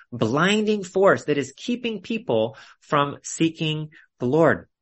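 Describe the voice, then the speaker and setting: A male preacher teaches in an expository sermon style. His voice sits at an average pitch for a man. He sets out his points deliberately, in short phrases with clear emphasis on key words. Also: a bit bright. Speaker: preacher leading a Bible study. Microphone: video call.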